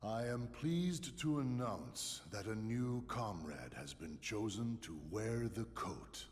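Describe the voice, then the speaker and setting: A man voicing a solemn, formal leader of a dark order in a video game, stately and ceremonious. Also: on the low side, lively intonation, slow. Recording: long hall reverb, soft music.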